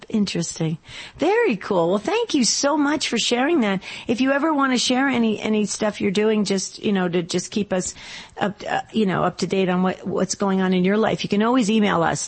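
A woman's voice: mid-range voice, expressive, moderate pace, breathy edge. A woman with a slightly scratchy voice hosting a radio call-in show in a chatty, casual, effusive way.

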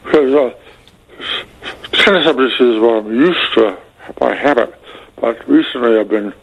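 A man calling a radio show, halting and hesitant as he searches for words, with effortful articulation from a speech impediment. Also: medium pitch, vocal fry, slightly rough. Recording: slightly dull, band-limited radio call.

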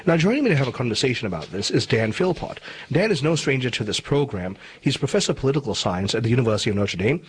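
A man's voice: mid-range voice, very animated, fast talker, hardly any pauses, slight background noise. A man from Malaysia hosting a radio interview show, speaking in a formal, articulate and emphatic way, with commentary. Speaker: talk show host.

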